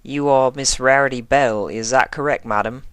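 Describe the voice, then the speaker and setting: A man's voice: medium pitch, moderate pace, a bit bright, clear, resonant tone, no room sound, pitched above the performer's own voice, natural voice. A man voicing an awkward, very polite young male police pony who speaks formally and courteously. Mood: flustered.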